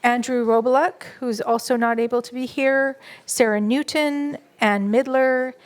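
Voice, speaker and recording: A woman moderating a public candidates forum, reading out names and explaining the format in a steady, organized way. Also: fairly high pitch, unhurried. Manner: steady, organized announcing with fillers.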